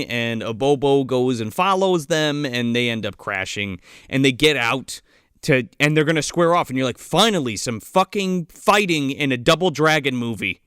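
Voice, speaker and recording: Man, movie podcast co-host, casual sarcastic banter, swears a lot, lively pitch, dry close mic. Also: smooth, no echo. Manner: animated, swears a lot, mocking asides.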